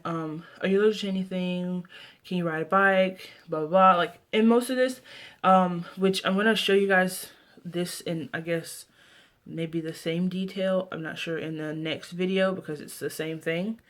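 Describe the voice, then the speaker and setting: Young American woman chatting casually, rambling. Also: on the low side. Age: 20s. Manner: rambling, self-interrupting, trailing off. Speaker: video blogger. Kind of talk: casual vlog chat.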